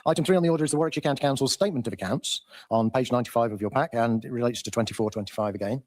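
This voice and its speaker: A man's voice: mid-range voice, very fast, a little mellow. A man chairing a council meeting, formal but hesitant, often repeating his words.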